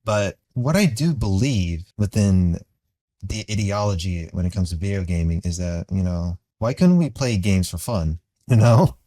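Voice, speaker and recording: A man with a low voice talks through his opinions in a casual commentary. His delivery rambles and is peppered with profanity, and the recording is close and dry. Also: expressive, moderate pace, dark tone, no echo. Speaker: commentary video creator.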